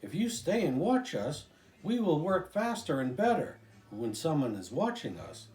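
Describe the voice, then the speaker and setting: Confident working man read by a storyteller, animated. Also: on the high side, unhurried, roomy sound. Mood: assured, cheerful. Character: confident, eager steam shovel operator man.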